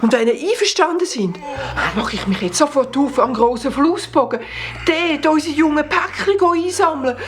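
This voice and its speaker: A woman's voice: sits high, expressive, normal speed, background noise. A woman with a Swiss German accent playing an anxious old female teacher parcel in a children's radio play, in a fretful manner. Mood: determined.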